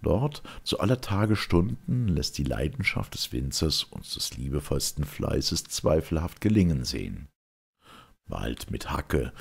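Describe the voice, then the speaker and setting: A man with a gravelly, husky voice reciting classical dramatic verse in a solemn, theatrical, measured manner. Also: extremely low pitch, darkish tone. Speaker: reader of classic literature.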